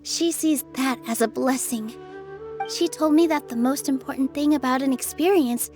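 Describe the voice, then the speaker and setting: A woman's voice: high-pitched, normal speed, close to an everyday voice. An actress playing a kind young female stage performer in a video game, speaking with soothing, sincere sympathy over music. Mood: encouraging. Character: gentle, encouraging young female theater performer. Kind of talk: scripted video game voice acting. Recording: music behind the voice.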